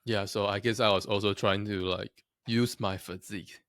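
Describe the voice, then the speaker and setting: A man's voice: fairly low pitch, steady tone, normal speed, fairly bright tone, no room sound, clean studio sound. A young man from Taiwan talking casually to his viewers, chatty and self-deprecating, often laughing at himself. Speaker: vlogger. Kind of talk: casual talk to camera.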